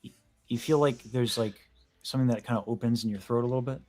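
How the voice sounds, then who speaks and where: A man's voice: medium pitch, fairly even intonation, fast, darkish tone. A young man hosting a casual chat, explaining vocal technique in an analytical, detailed way. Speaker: host, vocal coach. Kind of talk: casual video-call chat about singing.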